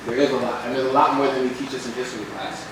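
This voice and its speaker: A man's voice: medium pitch, steady tone, rapid-fire. Young guy hosting a community event, encouraging, slangy, super echoey, mic far, noisy room. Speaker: event moderator. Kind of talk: casual talk to a live audience.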